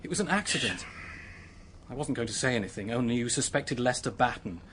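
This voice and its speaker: A man's voice: mid-range voice, animated, a little fast, a bit bright, slight background noise, close to an everyday voice. An actor in a radio drama playing a desperate, remorseful young man, speaking in an earnest, pleading way. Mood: anguished. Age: young adult. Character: desperate, remorseful young male clerk confessing to a killing.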